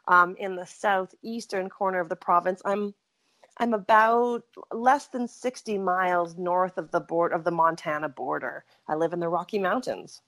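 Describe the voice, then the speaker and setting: A middle-aged woman from Saskatchewan tells her story as an interview guest. She speaks at a slightly low pitch, chatting freely, backtracking and correcting herself as she goes. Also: moderate pace, a bit bright. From Canada, Saskatchewan. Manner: chatty, rambling, frequent self-corrections and laughs. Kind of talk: conversational storytelling.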